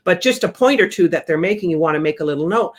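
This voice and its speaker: A real estate sales trainer giving a relaxed, conversational training talk, coaching listeners and repeating the key points. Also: mid-range voice, fast.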